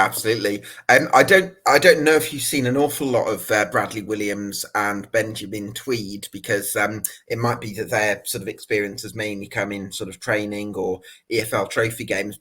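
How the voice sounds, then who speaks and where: A man's voice: fairly low pitch, steady tone, a little fast, few pauses, fairly bright tone, husky. A man hosting a fan podcast, asking questions with lots of fillers and restarts. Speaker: podcast host. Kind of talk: casual podcast interview.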